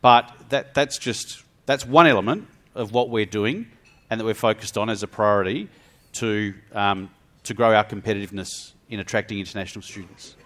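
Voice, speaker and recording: A man's voice: fairly low pitch, normal speed, a bit bright. A man on a panel gives an earnest answer, repeating words and restarting.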